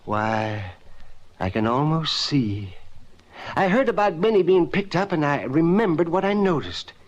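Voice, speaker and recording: An actor playing a rambling, ingratiating barfly informant with nervous chuckles, in old-time radio drama style. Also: male voice, a little high, big pitch swings, measured pace. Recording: muffled old radio recording.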